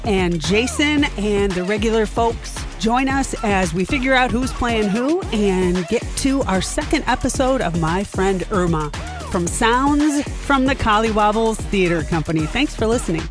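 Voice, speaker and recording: A woman's voice: mid-range voice, animated, unhurried. A woman introducing her theater company's radio play episode. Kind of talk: scripted introduction. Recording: music clearly behind.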